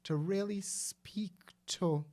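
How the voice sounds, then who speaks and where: A man talks through his views in a warm, mellow voice. He speaks earnestly and thoughtfully on a casual discussion show, pausing often and restarting his sentences as he searches for words. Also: sits high. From Guyana. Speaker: podcast co-host.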